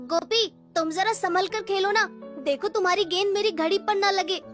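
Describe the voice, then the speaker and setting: A woman's voice: extremely high pitch, moderate pace, soft background music, pitched above the performer's own voice. A woman voicing a bossy, chatty, well-off child at play in a cartoon story. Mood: fussy.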